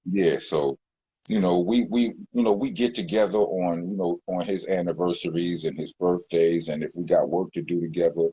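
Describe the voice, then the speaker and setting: Deep-voiced man, low and husky. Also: fairly even intonation, normal speed, dark tone, no echo, rough recording. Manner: rambling, many fillers and restarts. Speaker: rapper, guest. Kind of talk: casual interview talk.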